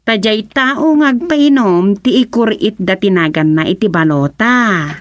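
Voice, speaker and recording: A woman's voice: medium pitch, measured pace, dry recording, close to an everyday voice. A woman acting a nagging, practical townswoman in a radio comedy drama. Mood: wry. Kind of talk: radio serial comedy drama dialogue in Ilocano.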